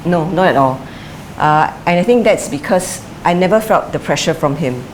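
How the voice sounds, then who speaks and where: Interview subject, middle-aged woman, lowish voice, measured and reflective, faint hiss behind. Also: animated, poor audio. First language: English is her first language; Chinese is her second. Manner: thoughtful, with frequent fillers and restarts. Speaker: interviewee, former sprinter and coach. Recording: light background noise.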